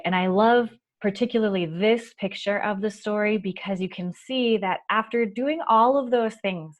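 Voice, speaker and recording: Low-pitched woman reading gently for storytime. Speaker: children's library storytime host. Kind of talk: gentle picture-book reading and explaining to children.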